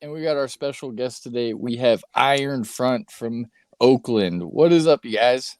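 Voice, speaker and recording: A man in the host's chair of a casual podcast, easygoing and loose with his words, swearing freely and leaning on filler as he talks. His voice sits at an ordinary middle pitch. The sound is close and dry, with no room around it. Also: normal speed, a little mellow, dry recording. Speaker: podcast host.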